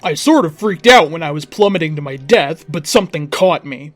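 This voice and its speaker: A man reading fan fiction aloud as an expressive, theatrical narrator. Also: a little high, fairly quick, dry recording, soft background music. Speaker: story reader.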